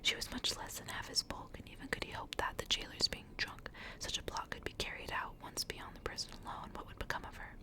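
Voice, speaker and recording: Whispering woman reading a story. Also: a little fast. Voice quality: breathy, unvoiced whisper. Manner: soft storybook narration. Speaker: ASMR reader.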